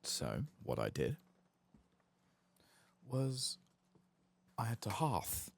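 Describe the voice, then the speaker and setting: A man giving casual, rambling, wry and sweary soft-spoken ASMR commentary on a game, close to the mic. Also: mid-range voice, wildly swooping pitch, very slow, vocal fry. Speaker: host of a game playthrough channel.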